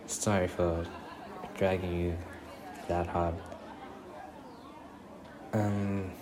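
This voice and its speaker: Low, slow, gentle boyfriend, acted by a man. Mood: sheepish, hesitant. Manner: hesitant, halting, reassuring. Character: gentle young boyfriend on an amusement park date. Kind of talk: ASMR boyfriend roleplay, soft-spoken. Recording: crowd ambience and noise behind voice.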